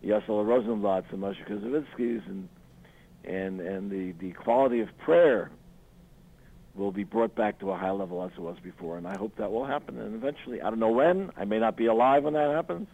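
An older man with a low, gravelly voice speaks slowly and haltingly, doubling back on his words as he answers questions. He is a retiring cantor being interviewed on the radio. The sound is dull and lo-fi. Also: animated. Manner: halting, repeats words, soft laugh.

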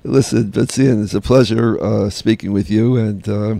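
Male radio host, mellow gravelly voice, halting casual interviewer. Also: lowish voice, fairly even intonation, no echo. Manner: hesitant, many "uh" fillers.

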